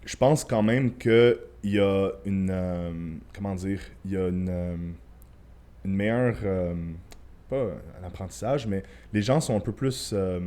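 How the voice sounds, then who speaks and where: A man's voice: sits low, measured pace, dark tone. A young man with a Quebec French accent, a podcast guest chatting loosely, swearing and mixing in English phrases. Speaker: podcast guest, former bodybuilder and coach. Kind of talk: casual podcast chat.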